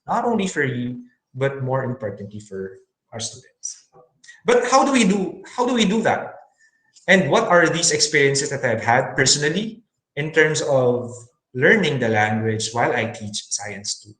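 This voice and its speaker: A man giving an earnest webinar talk on teaching science in English, pausing often with fillers and restarts. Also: medium pitch, fairly bright tone, reverberant, rough recording. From Philippines. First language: Filipino.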